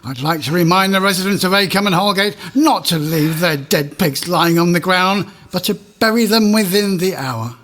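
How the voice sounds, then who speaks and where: An actor playing a pompous, officious village court judge of olden times in a comic audio drama. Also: male voice, sits high, moderate pace, few pauses, fairly bright tone, slight background noise. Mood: pompous ruling.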